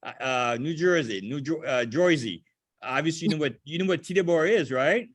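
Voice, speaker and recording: Older man from Haiti, halting speech. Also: a little high, expressive, no room sound. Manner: halting, restarts phrases often. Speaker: ground school instructor. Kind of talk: casual video call meeting. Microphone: video call.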